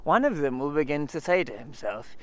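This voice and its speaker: A man voicing a gloomy, self-pitying old male donkey in a slow, sighing drawl. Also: fairly high pitch, big pitch swings, fairly bright tone, no echo.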